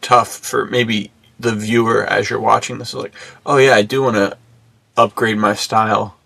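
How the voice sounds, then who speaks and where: Creaky, bright-voiced American man chatting casually. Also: on the low side, level tone, moderate pace, no room sound. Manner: slangy, rambling, acts out imagined remarks. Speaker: podcast co-host. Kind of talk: casual chat.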